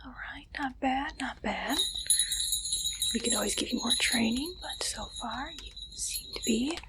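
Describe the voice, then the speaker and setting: A woman speaking in a soft, breathy whisper, playing an interviewer in an ASMR roleplay with slow, deliberate, deadpan delivery. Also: sits high, heavy background noise.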